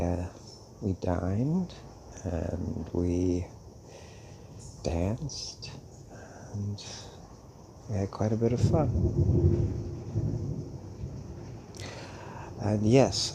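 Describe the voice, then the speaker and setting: A man playing a calm, fatherly old vampire mentor in an intimate audio roleplay, musing hesitantly with many pauses. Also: fairly low pitch, vocal fry, noisy background, exaggerated voice. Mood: musing, reflective.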